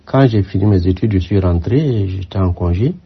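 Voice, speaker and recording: An older man from Burkina Faso, a former military aide, answering interview questions in a measured, reflective way with frequent hesitations. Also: sits low, husky. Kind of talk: radio interview. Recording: muffled lo-fi sound.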